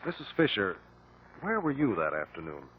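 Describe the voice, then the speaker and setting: A man acting a calm, courteous investigator who questions precisely and politely in a 1950s radio drama. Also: on the low side, moderate pace. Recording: narrow-band, faintly noisy old radio recording.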